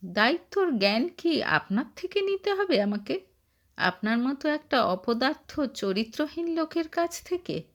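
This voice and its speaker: A woman's voice: high voice, sing-song, a little slow, pitched above the performer's own voice, exaggerated voice. A woman voicing a stern, protective, old-fashioned husband, earnest and reproachful, in a dramatised story. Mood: scornful. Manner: earnest, reproachful questioning and advising.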